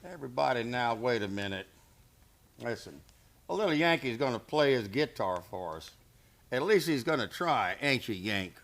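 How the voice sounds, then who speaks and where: A man's voice: mid-range voice, lively intonation, normal speed, gravelly, a bit bright. A man playing a swaggering, mocking cowboy bully in a Western radio play, with a folksy, taunting manner.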